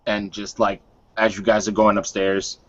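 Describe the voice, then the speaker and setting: A man's voice: fairly low pitch, little pitch movement, moderate pace, a bit bright. A man casually narrating the action of a tabletop roleplay game. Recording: dull, lo-fi online call.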